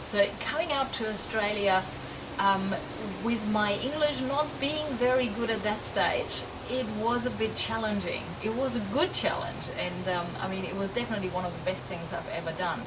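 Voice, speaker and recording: A middle-aged woman from Europe, a riding coach, answering interview questions in a relaxed, reflective way with many ums and restarts. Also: mid-range voice, moderate pace. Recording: distant mic, loud outdoor background noise.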